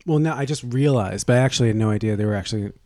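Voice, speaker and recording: A young man co-hosting a podcast, cracking snarky deadpan jokes in a casual chat. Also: mid-range voice, rapid-fire, no echo. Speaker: podcast co-host.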